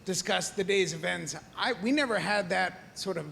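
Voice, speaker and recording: A man's voice: high voice, normal speed, creaky, fairly bright tone. A man, a fellow journalist in the audience, giving an earnest and reflective remark at a panel. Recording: reverberant hall, faint noise.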